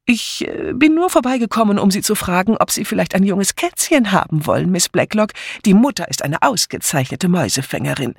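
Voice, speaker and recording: A man's voice: sits high, lively intonation, a little fast, gravelly, hardly any pauses, breathy edge, no echo, clean studio sound, pitched above the performer's own voice, full character voice. A man voicing a cheery, flustered middle-aged widow who hesitates as she talks, in an audiobook. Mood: chirpy. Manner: cheery then awkward, hesitant.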